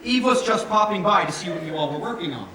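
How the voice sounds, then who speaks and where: A man's voice: high voice, lively intonation, quick pace, crowd noise. A man on stage playing a courteous, reassuring senior lab doctor. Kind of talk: staged musical reading, stage acting. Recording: echoey theatre, distant, audience noise.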